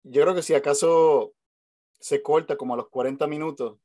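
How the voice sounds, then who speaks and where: A man's voice: fairly high pitch, steady tone, normal speed, mellow, no echo, studio quality. A man with a Puerto Rican accent, hosting a casual video call chat, talking hesitantly with many fillers and restarts. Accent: Puerto Rican Spanish. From Puerto Rico. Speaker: host. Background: family noise at home.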